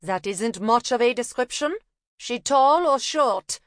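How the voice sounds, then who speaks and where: A woman's voice: a little high, expressive, measured pace, no room sound, clean studio sound, pitched above the performer's own voice. A woman voicing a young woman thief disguised as a rag picker, feigning a German accent and playing innocent. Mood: sly innocence. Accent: put-on German.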